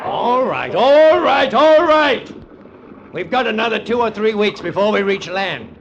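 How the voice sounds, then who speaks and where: An actor playing a hard, callous ship's first mate in an old radio drama, with rough speech and a sardonic manner. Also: male voice, high voice, expressive, a little slow, highs cut off, a crowd in the background, full character voice. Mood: taunting.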